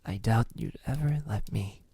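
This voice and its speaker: A man performs a lonely, possessive male vampire in an ASMR roleplay. His voice is low and breathy, often sinking to a whisper, with a menacingly tender, teasing manner. The recording is close and dry. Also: moderate pace, dark tone, no echo. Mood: teasing, calm. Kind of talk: ASMR roleplay, intimate soft speech.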